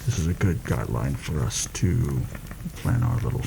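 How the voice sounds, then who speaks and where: A man playing a seasoned, wry assassin in a soft-spoken ASMR roleplay, talking in a hushed, measured, conspiratorial way with a gravelly voice. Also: a bass voice, lively intonation, exaggerated voice. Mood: calm, instructive. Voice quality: gravelly, creaky. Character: seasoned, wry rebel assassin planning a palace heist. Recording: noticeable background noise.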